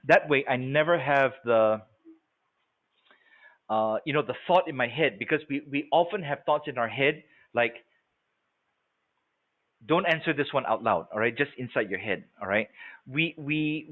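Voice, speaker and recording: A man in his forties from Singapore giving a live webinar sales training, talking conversationally and often checking in with his listeners. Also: mid-range voice. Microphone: video call. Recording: tinny phone-like audio.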